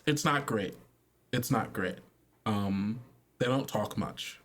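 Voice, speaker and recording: A man running a tabletop roleplaying game as game master, narrating in a halting, conversational way with pauses. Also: on the low side, a bit bright.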